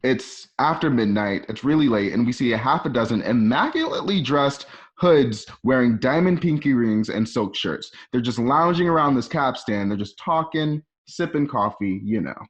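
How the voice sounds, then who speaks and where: A man's voice: medium pitch, lively intonation, normal speed, hardly any pauses. A man reading stage directions for a radio drama in a conversational way, with casual asides. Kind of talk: informal scripted narration for radio drama.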